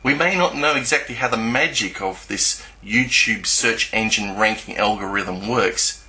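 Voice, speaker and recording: Forceful, bright-voiced man presenting a monologue. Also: lowish voice, measured pace. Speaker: YouTuber presenting a video. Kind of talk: scripted explanatory monologue.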